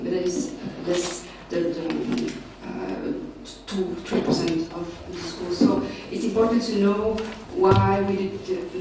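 A woman's voice: on the low side, noisy background. Young woman, hesitant academic presenter, recorded far off in a very echoey hall. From Belgium. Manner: hesitant, many fillers and restarts. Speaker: researcher presenting at a summer school. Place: large lecture hall, seated at a panel table. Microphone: table mic, recorded by a far camera.

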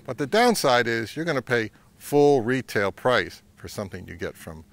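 Middle-aged North American man, lively intonation. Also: mid-range voice, normal speed. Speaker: vintage audio channel host. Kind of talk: informal advice to camera. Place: outdoors in woodland.

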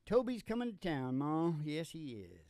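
A man's voice: fairly high pitch, huge pitch swings, normal speed, darkish tone, no echo, studio quality. An older man hosting in a rambling, comic, teasing way, often chuckling, into a stage microphone. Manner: jokey, teasing, laughs often. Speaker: podcast host and country singer. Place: small curtained studio stage. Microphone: stage microphone on a stand. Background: acoustic guitar.

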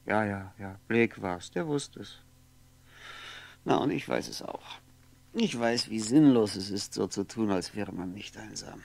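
An actor playing a lonely, brooding man in a radio drama monologue, musing haltingly to himself, wistful and wry, at times dropping to a whisper. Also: mid-range voice, creaky, a little mellow. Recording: noisy 1960s radio recording, faint hum.

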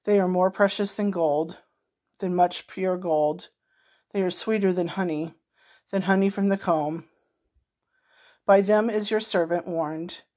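A middle-aged woman with a slightly low voice reads scripture aloud for a worship gathering. She is indoors at home, speaking into a phone at arm's length. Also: fairly even intonation, a little slow, pauses often, darkish tone. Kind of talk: reading a psalm aloud. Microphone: phone held at arm's length.

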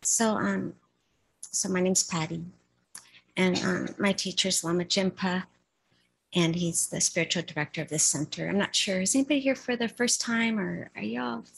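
Soft spoken middle aged woman, breathy, lots of ums, talking to a meditation group. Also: lowish voice, poor audio. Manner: halting, with many fillers and restarts. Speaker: sangha member giving a dharma talk. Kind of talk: informal dharma talk. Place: meditation hall shrine room, indoors. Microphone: stand mic in front of her.

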